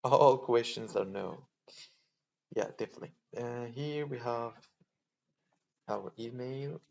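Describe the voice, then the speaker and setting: A man from Poland hosting a casual screen-recorded tutorial, thinking aloud in a halting way as he clicks through steps. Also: medium pitch, vocal fry. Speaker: tutorial host. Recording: dull lo-fi mic with faint noise.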